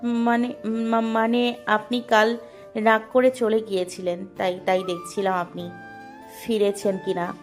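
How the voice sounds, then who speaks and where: An actress playing a timid, soft-hearted young woman in an audio love story, speaking hesitantly. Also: medium pitch, level tone, unhurried, close to an everyday voice. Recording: background music under the voice.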